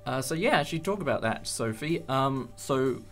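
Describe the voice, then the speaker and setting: A young man chatting casually in an interview, hesitant and rambling with many pauses. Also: mid-range voice, lively intonation. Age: young adult. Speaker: creator of a fan audio drama. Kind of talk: casual behind-the-scenes interview. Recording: light music bed under speech. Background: background music with guitar.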